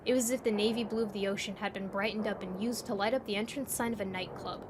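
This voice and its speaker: A woman's voice: medium pitch, steady tone, quick pace, noisy background. A woman narrating a scripted second-person story as the narrator.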